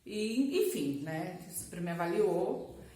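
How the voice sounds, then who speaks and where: A woman's voice: fairly low pitch, expressive, slow pace, dark tone. Brazilian woman chatting in an echoey room. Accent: Brazilian Portuguese. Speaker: language teacher and vlogger. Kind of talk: casual personal storytelling to camera. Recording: echoey, roomy sound.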